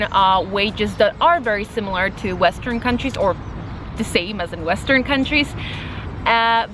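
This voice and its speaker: A woman, talking casually and explaining things as a vlogger. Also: medium pitch. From Poland, grew up partly in Copenhagen. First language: Polish. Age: 20s. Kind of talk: casual vlog talk to camera.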